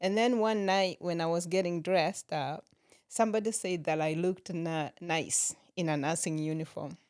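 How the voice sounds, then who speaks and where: A middle-aged woman from Kenya, a nurse practitioner, talking as a podcast guest in a relaxed way and laughing often. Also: on the low side, normal speed, clean tone, no room sound, studio quality. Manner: laughs often while telling stories. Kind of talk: relaxed interview conversation.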